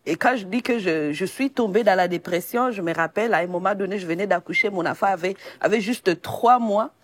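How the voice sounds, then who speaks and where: A young woman from the Democratic Republic of Congo, sharing a personal testimony as a guest in a quiet, halting, pausing way. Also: mid-range voice. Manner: soft, halting, pausing often. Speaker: guest evangelist. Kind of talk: personal testimony in a faith talk show.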